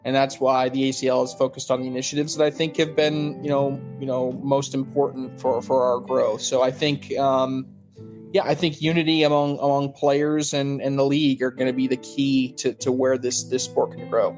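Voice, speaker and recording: A man answering as a league spokesman in a careful, polished, corporate way with pauses. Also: medium pitch, little pitch movement, music in the background. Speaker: sports league spokesman being interviewed. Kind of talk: measured interview answer.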